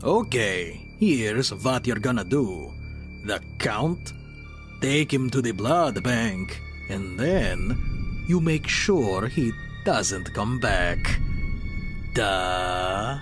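A man's voice: fairly low pitch, lively intonation, very slow, over music. A man playing a menacing vampire mob boss giving orders in a crime drama parody. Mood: cold menace.

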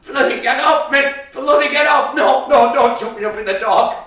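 A man's voice: sits high, lively intonation, a little slow, heavily acted. An actor playing a frightened, defiant eight-year-old boy who swears and blurts in a solo stage monologue, heard in a very echoey space from far off. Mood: panicked. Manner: hushed then shouting in panic.

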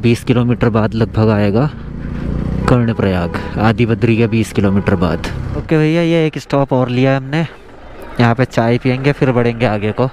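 A man in his thirties talks through his motorcycle ride in a relaxed, chatty way. His voice sits in an ordinary middle range. The outdoor recording carries clear wind and road noise. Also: mid-range voice, moderate pace. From India. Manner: relaxed running commentary while riding. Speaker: motovlogger. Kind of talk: casual travel vlog narration. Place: outdoors on a motorcycle on mountain roads. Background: road and vehicle noise.

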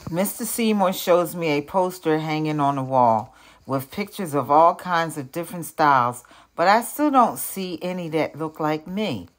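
An older woman with a low-pitched voice reads a picture book aloud for children. Her delivery is expressive and deliberate, in the style of a teacher telling a story.

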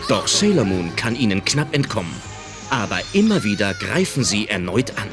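A man's voice: fairly low pitch, big pitch swings, normal speed. A man narrating a scripted audio drama as its storyteller. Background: music.

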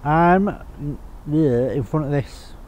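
A man's voice: takes it slowly, darkish tone. A man in his forties with a Liverpool accent, talking casually to the camera as he magnet fishes on a canal towpath, often muttering to himself. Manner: muttering low and offhand to himself. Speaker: magnet fishing vlogger. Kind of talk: casual running commentary. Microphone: handheld camera or chest camera. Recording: outdoor wind and background noise.

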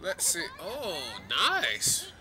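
A man's voice: sits high, huge pitch swings, very slow, noisy background. A young man with a congested voice giving loose, rambling casual commentary over a video game, swearing now and then. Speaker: gaming commentator.

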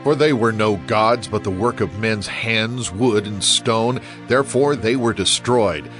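A man's voice: on the low side, unhurried, over music, close to an everyday voice. A man playing a devout, troubled king who prays with reverent pleading in a dramatized scripture recording.

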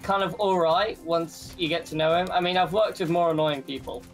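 A man's voice: a little high, steady tone, fairly quick, close to an everyday voice. A man playing a cheeky, eager superhero sidekick in a casual improvised video game roleplay. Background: music.

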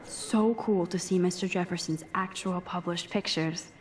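A woman's voice: on the low side, fairly even intonation, moderate pace, slight background noise, close to an everyday voice. Actress doing shy american teen girl, geeky photo student in a video game, wry and slangy, self-doubting. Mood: quiet admiration. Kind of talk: introspective game voice acting.

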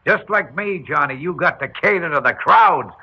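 A tough middle-aged businessman father in a radio play, blunt and bullying. His gravelly voice swings widely as he bargains in rough slang. It comes from a muffled, noisy old recording. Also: on the high side, expressive, moderate pace, exaggerated voice. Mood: gruff, scornful. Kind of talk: old-time radio drama acting.

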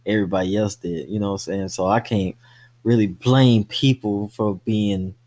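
A man's voice: on the low side, fairly even intonation, normal speed, a little mellow. A man co-hosting a movie podcast, talking casually in slang and swearing freely. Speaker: podcast co-host.

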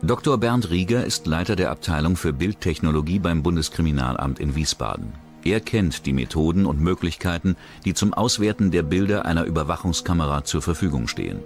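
A man giving a measured, grave scripted narration for a crime documentary. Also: low voice, lively intonation. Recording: voice-over over loud music.

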